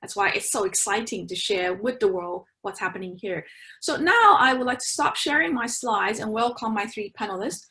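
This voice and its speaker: A woman's voice: mid-range voice, moderate pace, pushed and bright. A woman presenting and moderating an online panel, talking loosely with lots of "you know"s and restarts. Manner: loose, filler-heavy, with many "you know"s.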